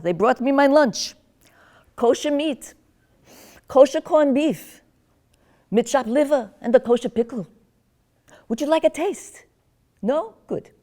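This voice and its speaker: A woman's voice: high-pitched, a little mellow. Woman playing wry old grandmother. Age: older. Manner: gossipy, teasing, drifting into confusion. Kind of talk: one-woman stage monologue.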